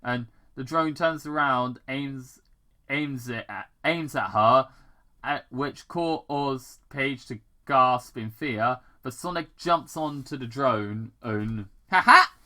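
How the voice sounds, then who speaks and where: An amateur reader narrating fan fiction aloud in a halting way, stumbling and repeating words. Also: medium pitch, pushed and bright. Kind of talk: amateur story read-aloud.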